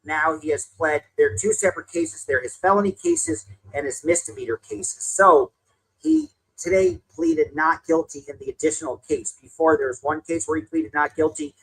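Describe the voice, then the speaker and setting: A reporter gives a plain spoken update on a court case, walking through each step. The voice is slightly husky. The pitch moves up and down freely while explaining. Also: animated, moderate pace, low-quality recording. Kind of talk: news update.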